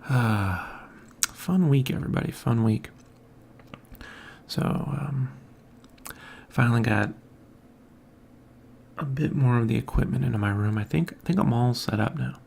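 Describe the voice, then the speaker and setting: A man hosting his own podcast, talking casually and softly in a quiet, mumbling way from a home room with an overhead mic. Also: medium pitch, unhurried, gravelly, long pauses, a little hiss or hum. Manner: quiet, soft-spoken, mumbling. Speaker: podcast host. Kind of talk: casual morning monologue. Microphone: hanging overhead mic.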